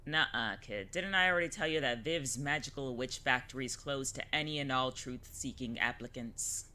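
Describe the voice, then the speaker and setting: A woman's voice: very deep voice, normal speed, sharp tone. A woman playing a brash, jaded witch in an audio drama, speaking with wry, breezy, theatrical sarcasm. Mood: wry, showy.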